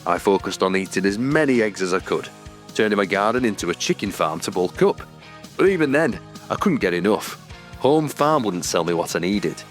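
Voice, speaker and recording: Man as proud strongman, audio drama character, lowish voice, very animated pitch, assertive. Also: moderate pace, soft background music, natural voice. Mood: proud, aggrieved. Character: proud, earnest storybook strongman obsessed with strength. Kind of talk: mockumentary interview in audio drama.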